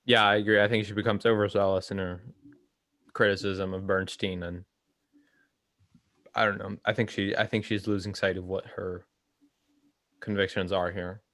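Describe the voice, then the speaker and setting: A young man co-hosting a casual discussion, talking in a hesitant, halting way. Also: sits low, level tone, a bit bright, no room sound. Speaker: co-host of a reading discussion.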